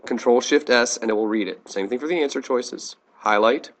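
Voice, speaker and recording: A man's voice: medium pitch, normal speed. American guy teacher doing a screencast how-to, plain step by step explaining. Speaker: teacher giving a software tutorial. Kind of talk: instructional screencast narration.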